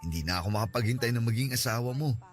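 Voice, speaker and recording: An actor playing a devoted young boyfriend, gentle and reassuring, breaking into tearful begging in a radio drama. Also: male voice, mid-range voice, racing, soft background music, natural voice.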